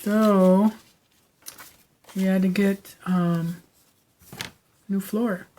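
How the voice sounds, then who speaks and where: Raspy chatty woman rambling at home. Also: medium pitch, fairly even intonation, drawn-out words, dark tone, slight background noise. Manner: chatty, digressive, lots of fillers. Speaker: crafting channel host. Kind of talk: rambling casual chat. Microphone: no microphone, camera mic.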